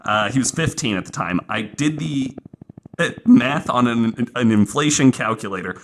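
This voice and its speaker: A man hosting a podcast, telling a true story casually in an informal, halting way with some self-corrections. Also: medium pitch, lively intonation. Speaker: podcast host. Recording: faint background noise.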